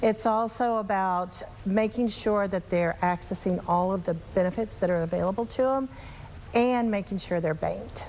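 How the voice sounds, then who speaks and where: A woman interview guest with a medium-pitched voice explains programs like a practiced executive. Her delivery is articulate and orderly, with faint ambience behind it. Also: moderate pace, a little mellow, a little hiss or hum, poor audio. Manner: articulate, organized, polished. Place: TV studio.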